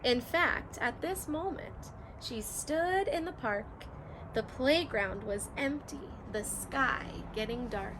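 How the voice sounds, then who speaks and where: A woman's voice: a little high, animated, slow pace, noisy background. A woman teacher reading a rhyming children's picture book aloud, in a sing-song, rhythmic storytelling manner.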